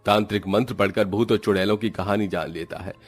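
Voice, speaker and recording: A man's voice: fairly low pitch, steady tone, a little fast, faint music behind. Male narrator of scripted horror tale. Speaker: storyteller narrator.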